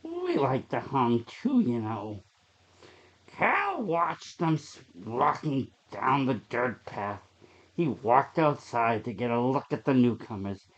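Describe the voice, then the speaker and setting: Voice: medium pitch, sing-song, slow, muffled recording. A narrator reading a scripted horror short story aloud.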